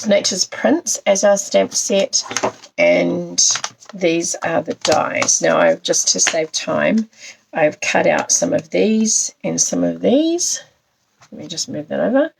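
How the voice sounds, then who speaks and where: A New woman with a low, heavily gravelly, croaky voice, hoarse from a cold. She talks through a card-making demo in a chatty way, with a lively up-and-down melody, and greets viewers by name as they join. A faint hiss sits under her voice. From New Zealand. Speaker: papercraft demonstrator. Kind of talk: live-streamed craft demonstration.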